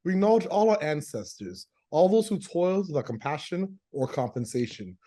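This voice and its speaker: A man's voice: fairly high pitch, sing-song, normal speed, mellow. A man hosting an interview, gracious and ceremonial in his welcome and questions. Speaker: interview host.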